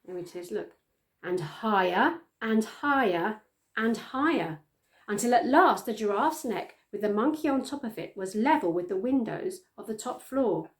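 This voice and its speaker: A middle-aged woman reading a children's story aloud with expressive storytelling delivery. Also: medium pitch, unhurried. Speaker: storytime reader. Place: small plain room indoors, on a sofa.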